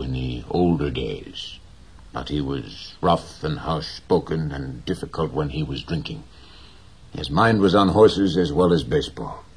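Man doing an old fisherman, deep and husky, slow plain talk, proud but gentle. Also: heavily acted. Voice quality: slow, plain, dignified speech.

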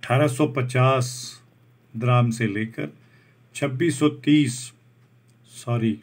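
A man reads out a job advertisement over a document, slowly restating each English term in Hindi. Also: medium pitch. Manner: slow, deliberate, restates each point in Hindi after English terms. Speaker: job vacancy channel host.

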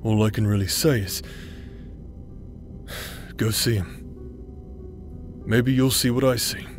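A man's voice: fairly low pitch, fairly even intonation, takes it slowly. A man acting in an intimate audio roleplay as a teasing, roguish outcast brother who plays the villain but is secretly tender, with a hesitant, chuckling drawl. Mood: soft, sincere. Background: music.

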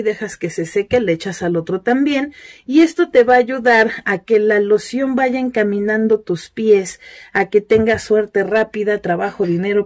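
A woman's voice: mid-range voice, a little mellow. Chatty Mexican woman selling spell tutorials. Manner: chatty, list-like, selling her services. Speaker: self-styled witch giving spell tutorials. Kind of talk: casual spoken tutorial and self-promotion. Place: indoors at a cluttered altar table.

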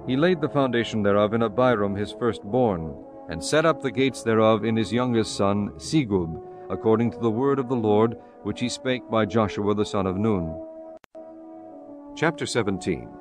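A man narrating a dramatized Bible from a script in a solemn, measured storytelling manner. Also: on the low side, over music.

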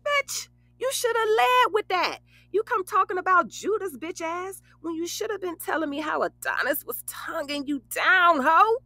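An actress playing a brash, bawdy but loyal young best friend, blunt and teasing, in an audio drama. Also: female voice, very high voice, animated, moderate pace, sharp tone, no echo, heavily acted. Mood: excited. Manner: sassy, blunt, foul-mouthed, teasing. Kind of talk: casual conversational audio drama.

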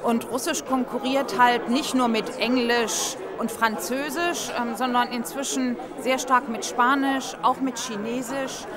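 A woman's voice: fairly high pitch, steady tone, unhurried, hardly any pauses, a loud crowd. A middle-aged woman earnestly explaining her view in an interview in a busy hall. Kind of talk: interview statement. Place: large hall, people passing.

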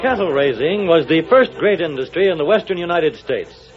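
A man's voice: on the high side, lively intonation, normal speed, lo-fi sound, over loud music. A man narrating a 1940s radio serial as announcer, in a dramatic, declamatory style on an old recording.

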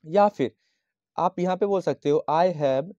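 A man from Bihar teaching a lesson, explaining slowly and patiently and repeating each phrase as he dictates sample lines. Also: a little high, dark tone, no echo. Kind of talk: handwritten English lesson explained in Hindi. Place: at a desk, writing on a notebook page. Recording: dull, lo-fi dry sound.

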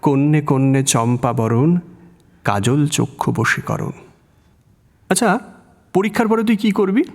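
A man's voice: a little high, expressive, a little slow, long pauses. A man playing a cheeky, dreamy young student in love in a romantic audio drama, with a Kolkata Bengali accent. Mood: tender, poetic, then teasing, wistful. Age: young adult. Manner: playful, teasing, whimsical. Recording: long reverb, music bed.

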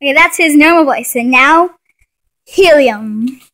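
Young woman, very high pitch, bright tone, casual playful home-video commentary. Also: measured pace. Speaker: person filming and commenting. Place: living room at home, indoors. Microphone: phone held by the speaker.

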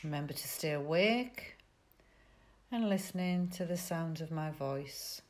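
A woman teaching yoga nidra, giving soothing, unhurried guided relaxation instructions with pauses between phrases. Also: deep voice, animated.

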